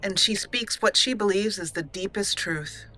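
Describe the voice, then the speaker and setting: A woman's voice: mid-range voice, normal speed, bright, forceful tone, a little hiss or hum, low-quality recording. A woman hosting a let's play with casual gameplay commentary, chatty and thinking aloud.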